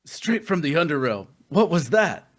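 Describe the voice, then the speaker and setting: A man's voice: fairly high pitch, very animated, normal speed. A man playing a groggy, battered warrior in a fantasy audio drama table read, weary in manner.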